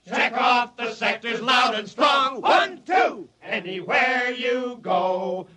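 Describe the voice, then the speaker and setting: A hearty road worker sings out a rousing work song in old radio-drama style. The voice is high and unhurried. It sounds roomy and far from the microphone, among a crowd. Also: male voice, raised voice, heavy background noise. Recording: echoey, distant, muffled crowd of voices.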